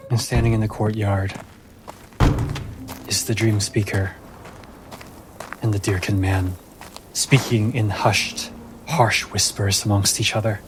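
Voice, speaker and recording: A man narrating a fantasy tabletop game as game master, with measured, dramatic pauses. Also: on the low side.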